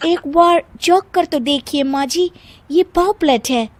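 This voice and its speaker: A woman voicing a polite, normally quiet young Bengali daughter-in-law in an animated story. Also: sits high, takes it slowly, no echo, pitched above the performer's own voice. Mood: meek, polite. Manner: polite, gentle protesting.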